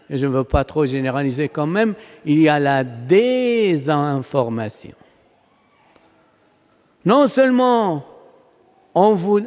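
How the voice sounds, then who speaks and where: Slow Palestinian priest preaching in reverberant church. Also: male voice, on the high side. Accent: Palestinian Arabic. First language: Arabic. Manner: oratorical, emphatic, with rhetorical questions and pauses. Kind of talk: sermon. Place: large church, preaching to a congregation. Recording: long reverberant church hall echo.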